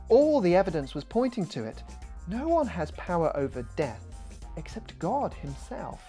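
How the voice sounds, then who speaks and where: Expressive man narrating a children's story. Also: fairly high pitch. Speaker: author reading his own book. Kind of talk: children's audiobook narration, scripted. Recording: music behind the voice.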